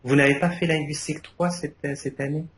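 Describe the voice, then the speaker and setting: Older male academic, Arabic native, halting delivery full of fillers, recorded in a reverberant room with light background noise. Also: fairly high pitch, steady tone, a bit bright. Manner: hesitant, many fillers and restarts. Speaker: thesis jury member. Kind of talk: academic thesis feedback. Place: university defense room.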